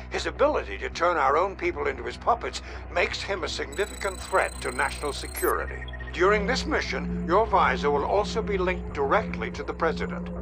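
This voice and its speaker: A video game mission commander, a man of authority. His voice sits a little high and his delivery is formal, measured and serious. Loud music plays beneath him. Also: low-quality recording. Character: authoritative male commander briefing an agent by radio, urgent.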